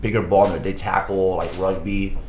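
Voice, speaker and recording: A man in casual conversation, a former goalkeeper from California, telling relaxed, rambling stories with frequent laughter. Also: deep voice, moderate pace, slight background noise. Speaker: guest, former soccer goalkeeper. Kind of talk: casual chat.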